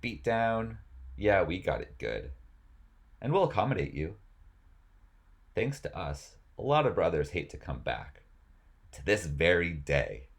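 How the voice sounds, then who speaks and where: A man's voice: low voice, big pitch swings, a little slow, pauses often. A man reading rap lyrics aloud as spoken word, as host of the reading.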